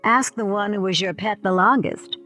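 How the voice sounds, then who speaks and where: A woman's voice: medium pitch, moderate pace, sharp tone, soft background music, natural voice. A woman voicing a sassy, worldly, theatrical cat in an audio play, with an arch, drawling manner. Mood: arch, affectionate. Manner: arch, drawling, calls people darling.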